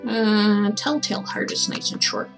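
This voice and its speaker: A woman streams in a casual, informal way, musing aloud as she goes. Her medium-pitched voice moves slowly and rambles along. Faint music plays behind her. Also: creaky. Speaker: streamer reading stories aloud. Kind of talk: casual livestream chat.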